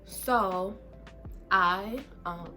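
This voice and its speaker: Congested Southern woman, bright chatty vlogger. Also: mid-range voice, extremely slow, soft background music. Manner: chatty and playful, trails off with lots of ums and y'alls. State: congested, stuffed up. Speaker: YouTuber reading Reddit stories. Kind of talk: casual vlog chat. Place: home room, indoors.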